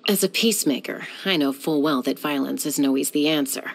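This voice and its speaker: An actress voicing a cool, confident young woman who is a sky-hunter and peacemaker in a video game, speaking in a calm, composed, measured way. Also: sits low, vocal fry, poor audio. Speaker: game character.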